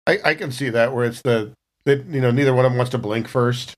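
A man's voice: medium pitch, fast. A man chatting casually as a player in a tabletop roleplaying game, making up the scene as he talks. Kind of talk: casual improvised game chat.

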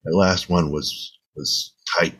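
A man playing an anxious, self-doubting musician in an improvised scene, speaking hesitantly and repeating himself in a gravelly, husky voice. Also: deep voice, fairly even intonation, slow, fairly bright tone, heavily acted. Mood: reassuring, earnest. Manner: hesitant, repetitive muttering and sighing. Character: anxious, self-doubting male musician steadying his bandmate. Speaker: actor in an improvised theater scene. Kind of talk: improvised monologue and dialogue acting.

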